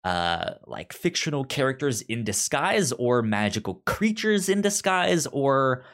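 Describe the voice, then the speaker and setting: Conversational co-host, unhurried and hesitant with repeated syllables, very lively intonation. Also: medium pitch. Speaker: podcast co-host. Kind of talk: casual book discussion chat.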